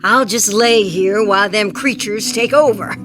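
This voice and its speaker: A woman's voice: mid-range voice, normal speed, close to an everyday voice. A woman playing a stubborn, plain-spoken old country wife in an audio drama, wry and defiant, with music behind her. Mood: wry, steady.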